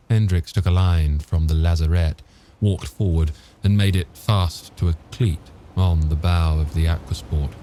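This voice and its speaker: A man's voice: low voice, dark tone, slight background noise. A man reading an audiobook as narrator, in a scripted storytelling delivery.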